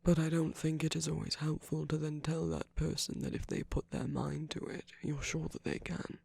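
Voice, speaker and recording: A man performs a villain in a romantic ASMR roleplay, tender yet in command. His voice is slightly high for a man, breathy and full of creak, often sinking into whispers. He speaks in gentle, measured phrases, close and dry. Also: steady tone, no echo, heavily acted. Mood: gentle, earnest. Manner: slow, gentle, measured, with deliberate pauses.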